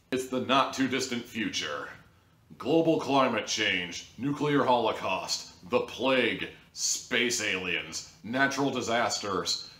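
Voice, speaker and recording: A man reviewing an audio drama in a rambling, sarcastic monologue, his pitch jumping up now and then for emphasis. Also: fairly bright tone, reverberant.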